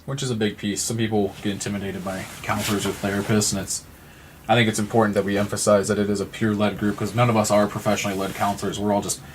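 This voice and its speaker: Male, 30s, podcast guest. Low voice, flat delivery, earnest and wordy, some background noise. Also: fast. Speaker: podcast guest from a support non-profit. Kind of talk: conversational interview talk.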